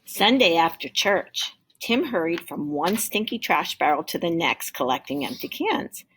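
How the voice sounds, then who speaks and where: A woman teacher reading a children's story aloud in an expressive storytelling style. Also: on the low side, unhurried, a little hiss or hum, rough recording.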